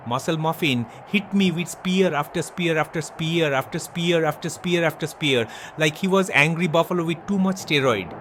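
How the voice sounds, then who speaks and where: A man's voice: normal speed, hardly any pauses, slight background noise, close to an everyday voice. A man playing a boastful, crude male wrestler with a Bangladeshi English accent, speaking broken English in a taunting wrestling promo. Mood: boasting. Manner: broken English, taunting, swings from yelling to low purring. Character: boastful male wrestler, self-proclaimed king, brash and crude.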